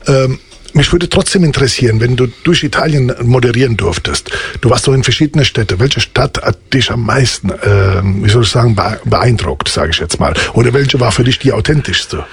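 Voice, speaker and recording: A man born in Italy hosts a radio interview in the studio. His voice is very husky and swings widely in pitch. He is effusive and quick to laugh. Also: expressive. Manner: enthusiastic, effusive, laughs easily. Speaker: radio host.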